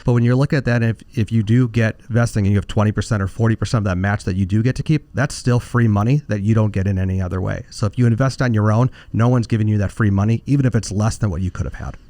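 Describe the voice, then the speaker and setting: A man's voice: lowish voice, quick pace, few pauses, warm tone, no echo. A man working as a financial advisor, answering questions in an explanatory, conversational way with informal phrasing. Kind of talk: conversational expert commentary.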